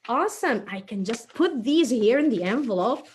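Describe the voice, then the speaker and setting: A woman's voice: fairly high pitch, lively intonation, normal speed, darkish tone, natural voice. Woman voicing a chatty, eager child puppet. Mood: cheerful, eager. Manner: thinks aloud, exclaims, explains simply. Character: chatty, eager young child puppet, impatient but conscientious. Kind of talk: children's puppet show storytelling.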